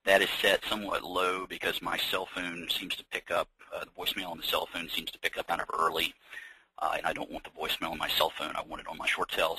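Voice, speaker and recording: An American man leading an informal software training webinar, explaining things conversationally with practical examples. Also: low voice, husky, rough recording. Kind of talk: informal software demonstration, unscripted walkthrough.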